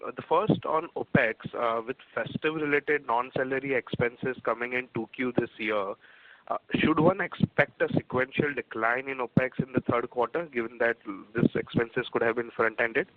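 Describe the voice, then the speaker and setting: A man's voice: medium pitch, steady tone, moderate pace, dry recording. A man with an Indian accent asking questions as an analyst on an earnings call, over a phone line. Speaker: analyst caller. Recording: narrow tinny phone line.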